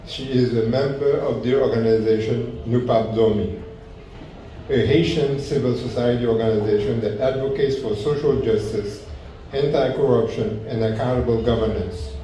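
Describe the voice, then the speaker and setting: Guy moderating a panel, kinda halting, soft dark tone, really echoey room. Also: medium pitch. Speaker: forum moderator. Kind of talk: introducing a panelist to an audience. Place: large echoey indoor event room. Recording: echoey room from far off, faint noise.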